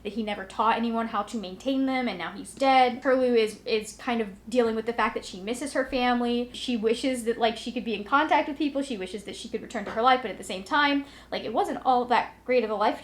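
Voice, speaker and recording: A woman's voice: fairly high pitch, quick pace, hardly any pauses. A woman talking casually to viewers as a book vlogger, in a rambling, conversational, self-deprecating way. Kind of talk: casual chatty vlog, recapping to viewers.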